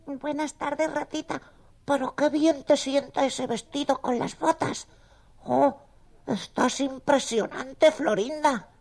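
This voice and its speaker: A woman's voice: sits high, fairly even intonation, slow, vocal fry, darkish tone, full character voice. A woman voicing a sweet, eager, bashful male mouse with a hesitant, gushing delivery in a children's audio story. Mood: bashful, smitten.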